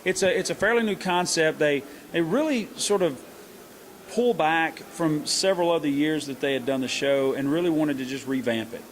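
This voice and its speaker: A middle-aged man talks in a fairly high voice. He answers interview questions earnestly and haltingly, starting over often as he works out what he wants to say. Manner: earnest, halting with many restarts. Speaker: country singer and hunting show host.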